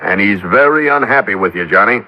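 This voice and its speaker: An actor playing a harried, firm but apologetic insurance manager in a 1950s radio drama, with a husky voice. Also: male voice, lowish voice, lively intonation, brisk. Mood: reproachful. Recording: dull old radio recording.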